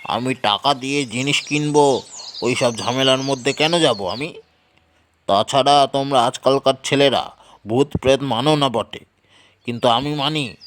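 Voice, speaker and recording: An actor playing a cautious, superstitious elderly uncle in an audio horror story, emphatic and admonishing. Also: male voice, mid-range voice, fairly bright tone, slight background noise, natural voice.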